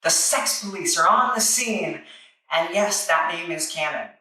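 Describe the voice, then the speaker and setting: Echoey, bright-voiced woman reviewer. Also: on the low side, expressive, unhurried. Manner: wry, tongue-in-cheek. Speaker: reviewer and narrator. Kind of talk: playful scripted comic review. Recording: echoey room, distant microphone.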